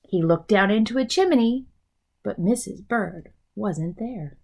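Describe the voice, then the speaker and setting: A woman reads to young children as a teacher would, expressive and explanatory. Her delivery is slow, her pitch moves in big swings, and her voice often drops into a creaky fry. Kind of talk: children's storybook read-aloud.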